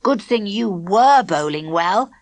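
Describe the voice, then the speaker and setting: A woman voicing a serious, worried schoolboy who speaks in a frowning, quietly stern way. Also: mid-range voice, animated, slow pace, dry recording.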